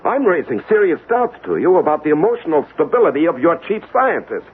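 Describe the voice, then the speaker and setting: An actor playing an earnest, skeptical investigator in a 1970s radio drama, questioning and a little halting. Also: male voice, on the high side, sing-song, husky, full character voice. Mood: indignant. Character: earnest, skeptical male weapons investigator, principled and insistent. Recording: narrow-band, hissy 1970s radio recording.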